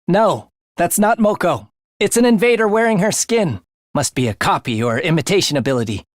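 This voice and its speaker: A man's voice: high voice, lively intonation, normal speed, no room sound, clean studio sound. A man voicing a morbid, bloodthirsty young male student scientist in an English video game, speaking theatrically with a sinister relish for the macabre. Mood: cool, analytical.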